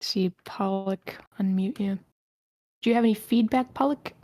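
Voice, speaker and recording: A woman's voice: mid-range voice, normal speed, warm tone, no echo. A woman politely moderating a group online feedback session as its host. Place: online meeting.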